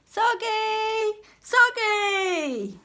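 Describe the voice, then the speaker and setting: A woman plays a frantic young boy from a storybook. The voice is pitched very high and calls out loudly and urgently, full of excitement. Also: extremely slow, exaggerated voice. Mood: calling out. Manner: urgent, loud calling.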